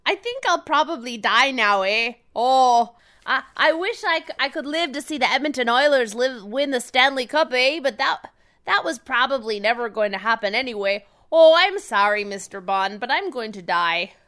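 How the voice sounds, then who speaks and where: A woman's voice: on the high side, animated, moderate pace, sharp tone. A woman voicing a meek, rambling Canadian man who knits and loves hockey, apologizing often and tagging phrases with "eh". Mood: apologetic, fading.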